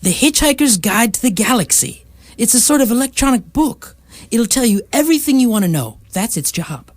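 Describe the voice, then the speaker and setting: A woman's voice: fairly high pitch, sing-song, brisk, no room sound. A woman playing a breezy, unflappable galactic hitchhiker who explains things matter-of-factly in a radio comedy. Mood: breezy, explaining. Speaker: radio drama actor.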